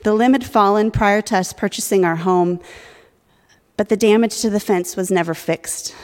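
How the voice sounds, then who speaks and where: A woman from the congregation telling a personal story, calm and a little halting, with fillers. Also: medium pitch. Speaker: congregation member sharing a story. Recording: long hall reverb.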